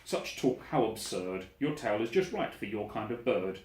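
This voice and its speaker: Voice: medium pitch, lively intonation, normal speed, darkish tone, close to an everyday voice. A reader voicing a stern, dismissive male bird doctor, in a roomy recording. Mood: scolding. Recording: roomy echo.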